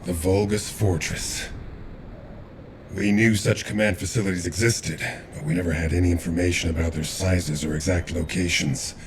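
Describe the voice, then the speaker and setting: An actor playing a steadfast, scholarly military commander briefing troops in a video game, measured and grave. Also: male voice, fairly even intonation. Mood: grave resolve. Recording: rumbling game noise behind.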